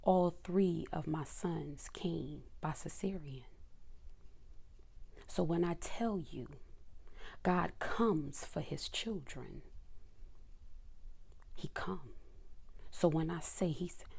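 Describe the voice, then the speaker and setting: A woman preaches in a soft, intimate whisper, as if talking to her own children. Her voice sits low and gravelly, with a breathy, husky edge, and she takes her time over every phrase. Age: adult mother. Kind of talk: whispered devotional sermon reading scripture.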